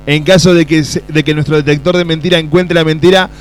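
A man hosting a radio interview with a Rioplatense Spanish accent, joking and teasing his guest, often dropping into low asides. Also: steady tone, fast, slight background noise. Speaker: radio host. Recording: music playing behind the voice.

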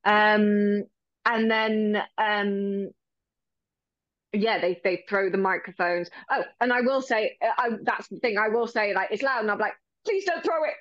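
A woman who works as a ring announcer, chatting in an interview, bubbly and quick to laugh, with a loud voice. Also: medium pitch, dry recording, dull audio. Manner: bubbly, laughs often, animated retelling. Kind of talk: casual interview chat.

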